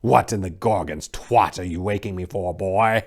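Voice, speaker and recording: A man's voice: sits low, normal speed, voice pushed down. A man voicing an irritable Spartan guard officer in a dramatic story reading, theatrical and commanding. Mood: grumbling.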